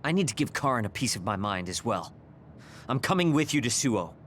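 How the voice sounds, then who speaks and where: A man's voice: medium pitch, big pitch swings, fairly quick, a bit bright, a little hiss or hum. Prickly young male soldier, video game cutscene, curt, medium register. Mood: bitter, resolved.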